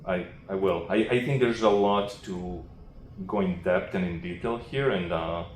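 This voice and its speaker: A man answering academic questions after a research talk, explaining off the cuff in a halting, thinking-aloud way. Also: sits low. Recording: roomy echo with faint noise.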